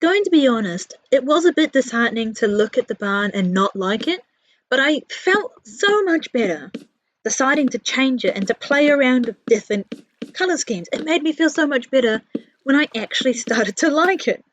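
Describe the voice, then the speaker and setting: A woman's voice: high voice, very animated, moderate pace, no room sound, poor audio. A woman with a New Zealand accent giving a casual, chatty voice-over for a gaming build vlog, candid and self-deprecating. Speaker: video creator, narrator.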